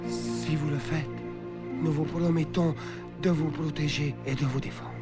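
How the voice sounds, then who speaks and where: A man playing an earnest believer, a fervent envoy of his town, in a French-dubbed animated historical drama. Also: a little high, measured pace, over loud music, close to an everyday voice. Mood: pleading, solemn. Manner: fervent, pleading.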